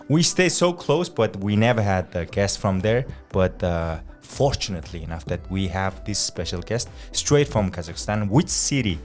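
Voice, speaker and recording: A man's voice: on the low side, darkish tone. A young man hosting a casual podcast interview, chatty and friendly with loose English phrasing. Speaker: podcast host.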